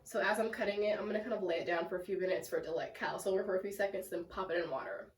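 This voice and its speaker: A young woman with a sick, congested, raspy and nasal voice, chatting casually in a home plant vlog with lots of fillers. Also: medium pitch, racing. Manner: chatty and self-deprecating, lots of "like" and "um". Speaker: plant vlogger. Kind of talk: casual rambling vlog chat. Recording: echoey room, mic at a distance.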